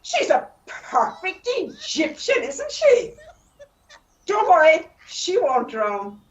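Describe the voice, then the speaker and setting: A woman playing a wry, animated Irish storyteller in a comic radio play. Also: extremely high pitch, slow pace, exaggerated voice. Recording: muffled, roomy, distant microphone.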